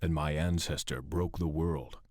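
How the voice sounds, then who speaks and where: A man's voice: extremely low pitch, steady tone, measured pace, a little mellow, no echo, clean studio sound. A man playing a brooding exiled warlord in a video game, speaking in a solemn, terse, commanding way. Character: Kenji, brooding exiled young warlord of a serpent clan. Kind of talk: dramatic video game cutscene acting.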